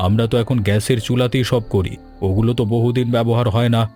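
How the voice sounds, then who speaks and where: A man playing a curious, worried village father who runs a sweet shop in an animated story. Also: mid-range voice, fast, soft background music, lightly acted. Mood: curious, suspicious.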